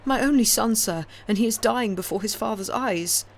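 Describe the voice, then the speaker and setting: A woman's voice: fairly high pitch, normal speed, voice pushed up. A woman voicing a desperate old father in a storybook, pleading frantically with stammered, gasping cries. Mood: frantic pleading. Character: frantic old father begging desperately for his drowning son.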